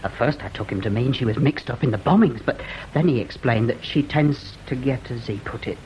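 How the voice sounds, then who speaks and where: An actor playing an earnest, deferential English police subordinate in a British radio comedy-mystery, guileless, hesitant and literal-minded. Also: male voice, medium pitch, fairly quick, highs cut off, a little hiss or hum, natural voice. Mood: earnest reporting.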